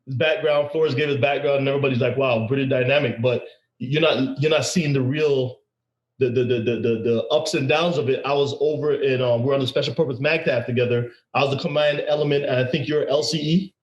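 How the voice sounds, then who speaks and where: Lively host man in a roomy room. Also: mid-range voice, fairly quick, dull audio. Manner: energetic storytelling with laughs and repeats. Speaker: podcast host. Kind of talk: casual interview chat. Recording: roomy echo.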